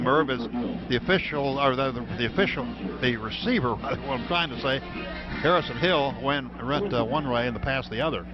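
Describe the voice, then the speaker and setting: An American man calling live football play-by-play on the radio from a stadium booth. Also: mid-range voice. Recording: dull, noisy old radio broadcast.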